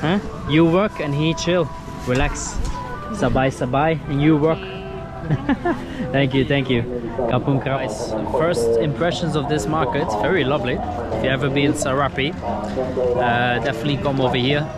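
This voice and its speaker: A man's voice: mid-range voice, measured pace. Upbeat young man vlogging at a night market. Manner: chatty, upbeat, laughs often, tries a few local words. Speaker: travel vlogger. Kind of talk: casual vlog chat. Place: busy outdoor night market. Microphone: handheld camera at arm's length. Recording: loud music and crowd at an outdoor market.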